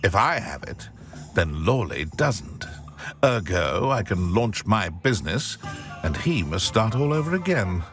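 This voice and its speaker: An actor playing a scheming, smug wizard shopkeeper in a video game, speaking in a sly, pompous, theatrical way. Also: male voice, on the low side, sing-song, unhurried, fairly bright tone, soft background music. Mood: sly, persuasive. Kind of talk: video game character acting.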